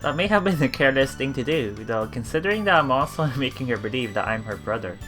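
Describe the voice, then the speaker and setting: A man hosts a visual novel let's play in a bright, lively voice of medium pitch. He reads the text aloud and comments casually, often restarting phrases. Game music plays softly behind him. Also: quick pace.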